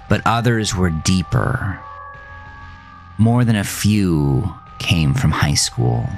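Deep, gravelly male horror narrator. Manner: brooding, theatrical. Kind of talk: dramatic first-person horror storytelling. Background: faint music.

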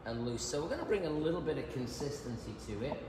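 Man, 50s, hairdresser, chatty, croaky, echoey room, salon noise. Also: mid-range voice, animated, fast. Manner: chatty, joking, teaching as he cuts. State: slightly croaky voice. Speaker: hairdresser and educator. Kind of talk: live haircut demonstration. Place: large bright hair salon, indoors. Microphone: phone camera held nearby.

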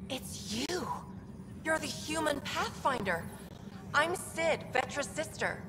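A woman's voice: high-pitched, expressive, takes it slowly, heavy background noise. A woman voicing a friendly, chatty young worker on a space station in a game. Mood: eager. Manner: eager, chatty. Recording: long reverb, music behind.

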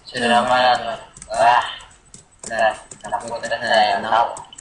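A man's voice: medium pitch, level tone, slow, fairly bright tone. A man, casually giving hints to a friend playing a game over a voice call. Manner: offhand helper giving hints. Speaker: co-commentator friend. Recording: roomy voice call with faint noise.

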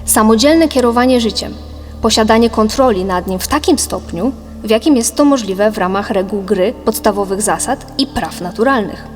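A woman reading a scripted philosophical audiobook in a measured, didactic manner. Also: fairly high pitch, close to an everyday voice. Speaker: audiobook narrator. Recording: long reverb, music behind. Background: music.

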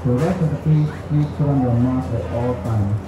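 A man's voice: medium pitch, normal speed. A man making a public announcement. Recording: dull public address under loud music.

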